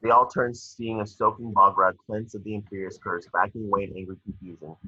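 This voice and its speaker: A man's voice: on the low side, little pitch movement, fairly quick, sharp tone, highs cut off. A man reading stage directions as narrator for a screenplay table read.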